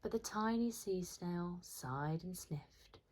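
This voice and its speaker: A woman with an English accent reading a children's picture book aloud in a dramatic, rhythmic way with hushed, drawn-out words. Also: fairly low pitch. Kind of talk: expressive children's read-aloud narration.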